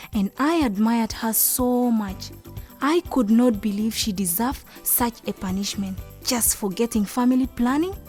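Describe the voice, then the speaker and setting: Earnest young woman, high-voiced. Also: darkish tone. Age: young adult. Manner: earnest, emotive. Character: anxious, compassionate young village woman telling her story. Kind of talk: first-person radio drama narration and acting.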